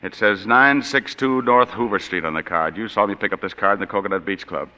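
An actor playing a hard-boiled private detective with dry, sardonic wisecracks, in 1940s radio drama. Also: male voice, fairly low pitch, brisk, pushed and bright. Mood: wry, tough. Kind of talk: 1940s radio drama with first-person narration. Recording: muffled 1940s radio broadcast with long reverb.

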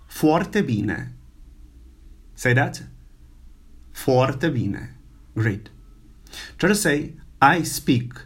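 A man who is not a native English speaker giving an informal language lesson, halting and full of fillers, repeating words for the learner. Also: medium pitch, expressive, husky. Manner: slow, halting, many fillers and repetitions. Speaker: language teacher.

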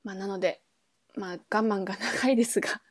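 A woman's voice: medium pitch, fairly even intonation, a little fast, no room sound, studio quality. A woman co-hosting a podcast in casual, colloquial conversation. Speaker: podcast co-host, performing musician. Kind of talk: casual chat.

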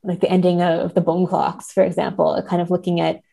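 A woman's voice: on the low side, level tone, fairly quick, creaky, mellow. A woman, a podcast guest, discussing books casually in a tentative, thoughtful way with hedged phrasing. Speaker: podcast guest, literary translator.

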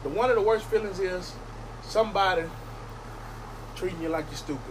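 A man's voice: sits high, fairly quick. A man talking in a casual group chat, lecturing and asking for agreement. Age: older than the others. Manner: preachy, repeats "you hear me?". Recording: noisy background.

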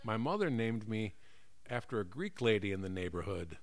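A man's voice: on the low side, moderate pace. A middle-aged American man chatting casually and comically, rambling and often correcting himself as he goes. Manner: animated, rambling, self-correcting. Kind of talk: casual comedic chat.

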